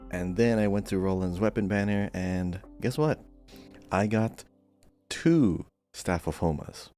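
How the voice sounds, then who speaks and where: Low-voiced guy in casual gaming chat. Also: a little slow, mellow, faint music behind. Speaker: podcast co-host.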